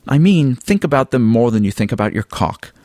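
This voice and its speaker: A man's voice: medium pitch, expressive, fairly quick, dark tone, no room sound, clean studio sound, pitched above the performer's own voice, lightly acted. A man voicing an earnest, bristling young male animal student in an audiobook, with an insistent, moralizing manner. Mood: exasperated reproach. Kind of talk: audiobook character dialogue.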